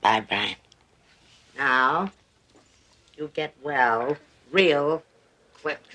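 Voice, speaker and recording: A woman playing a gentle visitor to a sick child in a television drama, with a playful storytelling manner. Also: a bass voice, expressive, drawn-out words, full character voice. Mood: wistful.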